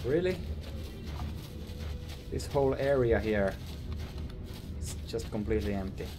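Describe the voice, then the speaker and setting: Slow-talking Finnish man streaming a game. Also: on the low side, animated, over music. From Finland. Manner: musing, chatty with viewers. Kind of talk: casual gameplay commentary. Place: small room indoors.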